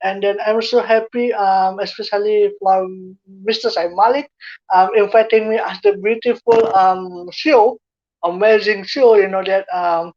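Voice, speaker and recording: A woman's voice: medium pitch, steady tone, measured pace, a little mellow, muffled recording. A woman from Indonesia with an Indonesian accent, a guest youth speaker answering interview questions in English with many fillers and restarts. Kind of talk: online interview.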